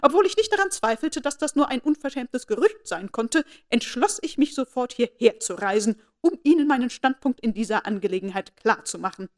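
A woman's voice: high-pitched, animated, moderate pace, voice pushed up. A woman voicing a haughty, imperious elderly noblewoman who speaks in a commanding, formal and indignant way.

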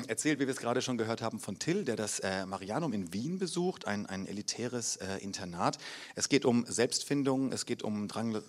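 A German man hosting a literary reading in a city library, chatty and hesitant with fillers. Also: fairly low pitch. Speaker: event host. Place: city library event room.

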